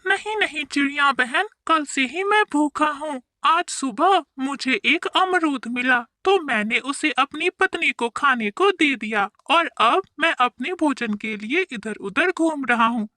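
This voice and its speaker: A woman's voice: high-pitched, lively intonation, a little slow, few pauses, pushed and bright, no room sound, clean studio sound, exaggerated voice. A woman voicing a tired, patient male parrot husband in a cartoon, with a weary, exasperated manner. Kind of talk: cartoon voice acting.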